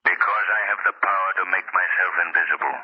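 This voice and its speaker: An actor playing an invisible, commanding crime fighter in a 1930s radio drama, speaking in a deliberate, ominous manner. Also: male voice, sits low, steady tone, slight background noise, lightly acted. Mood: eerie, commanding. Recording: muffled old 1930s radio recording.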